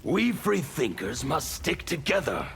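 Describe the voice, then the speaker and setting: A man playing a fanatical, menacing anarchist in a video game, with a gravelly voice. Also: on the high side, big pitch swings, a little slow, a bit bright, a little hiss or hum, full character voice. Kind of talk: video game fight dialogue.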